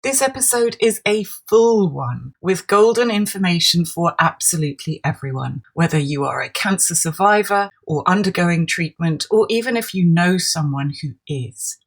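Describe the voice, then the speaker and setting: A woman hosting a podcast, introducing her guest and asking gentle, welcoming questions. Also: on the low side, expressive, normal speed, few pauses. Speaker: podcast host. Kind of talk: podcast interview.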